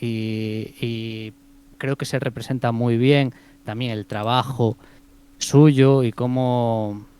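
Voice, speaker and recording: A man with a Spanish accent from Spain talks through a film review in a relaxed podcast chat. His voice is smooth and resonant, at a middle pitch. He pauses often, fills gaps and restarts his sentences as he thinks aloud. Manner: hesitant, many fillers and restarts.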